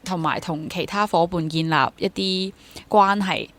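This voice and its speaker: A woman's voice: fairly low pitch, steady tone, a little slow. A woman in her twenties with a Hong Kong accent, talking casually and reflectively as an interview guest, dropping English words into her speech. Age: 20s. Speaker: interview guest, freelance stage actor and drama teacher.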